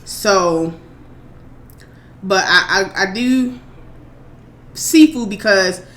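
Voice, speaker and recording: A woman's voice: medium pitch, very slow. Chatty woman in her thirties, echoey room. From Maryland, USA. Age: 30s. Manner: rambling, chatty, relaxed, with many fillers. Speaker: mukbang vlogger. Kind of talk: casual chat answering viewer questions. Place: home. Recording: echoey room, faint background noise.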